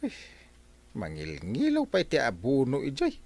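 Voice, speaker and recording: An actor with an Ilocano Filipino accent playing a blustering, scolding village captain in a radio comedy drama, full of exclamations. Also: male voice, mid-range voice, huge pitch swings, normal speed, a little mellow. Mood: grumbling.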